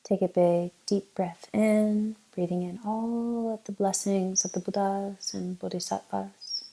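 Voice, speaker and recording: A woman leading a guided meditation as a teacher, giving gentle, unhurried instructions with long pauses. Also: medium pitch, fairly even intonation, vocal fry, a little hiss or hum, poor audio.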